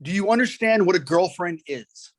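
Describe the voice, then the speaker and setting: A man's voice: high-pitched, animated, moderate pace. A man playing a persistent lawyer who puts insistent, clipped questions to a witness in a dramatic reading. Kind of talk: dramatic reading of a deposition transcript.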